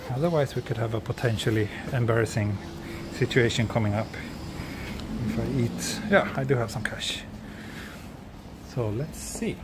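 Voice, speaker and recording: A man from Sweden, speaking English as a second language, hosts a relaxed live walking stream outdoors and chats with viewers as he goes. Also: medium pitch, normal speed, very noisy. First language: Swedish. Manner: relaxed, answers viewer chat while walking. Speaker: vlogger walking through a city. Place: outdoors on city streets.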